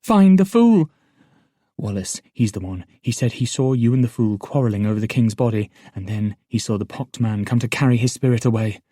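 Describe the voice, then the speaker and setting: A man voicing an excitable, headstrong elderly noblewoman in an audiobook, talking in a rapid, indignant rush. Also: on the low side, dry recording, clean studio sound, close to an everyday voice. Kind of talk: audiobook character voice.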